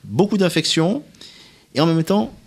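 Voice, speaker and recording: A man hosting a radio interview, putting long, deliberate, prepared questions to his guest with some hesitation. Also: a little high, very animated, creaky, husky. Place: radio studio.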